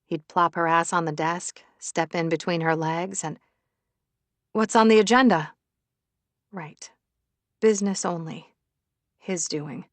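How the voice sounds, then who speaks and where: A woman's voice: lowish voice, flat delivery, measured pace, a bit bright, no echo. A woman reading a romance novel aloud as a scripted audiobook narrator.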